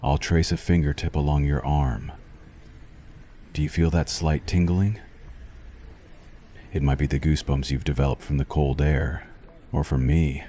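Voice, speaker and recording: Man acting as suave male vampire doctor, ASMR roleplay, bottomless pitch, leisurely delivery, teasing, possessive, quietly threatening. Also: level tone, a little hiss or hum. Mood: clinical, teasing. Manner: formal, unhurried, teasing, softly menacing. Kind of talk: ASMR roleplay, scripted monologue to the listener.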